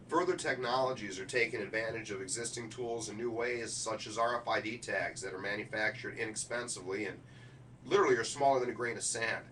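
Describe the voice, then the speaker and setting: A man's voice: mid-range voice, fairly bright tone, distant mic, a little hiss or hum. A middle-aged man running through a keynote speech draft, switching between presenter delivery and offhand asides. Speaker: keynote speaker rehearsing a speech.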